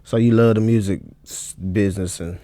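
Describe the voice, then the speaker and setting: A man's voice: on the low side, steady tone, normal speed, warm tone. A man hosting a casual podcast, asking laid-back, slangy questions. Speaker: podcast host.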